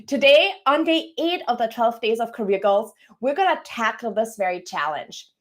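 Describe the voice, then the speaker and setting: A woman from Germany hosts a live-streamed career talk. Her voice sits a little high, and she greets her guest and audience in an upbeat, welcoming way. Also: lively intonation, moderate pace. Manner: upbeat, welcoming, scripted-sounding intro. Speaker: host, career coach. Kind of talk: live-stream interview hosting.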